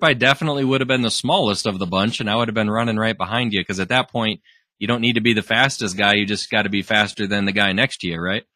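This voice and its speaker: Bright-voiced chatty male podcast host. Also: lowish voice, no room sound, studio quality. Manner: chatty, frequent restarts, laughs easily. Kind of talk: casual conversation.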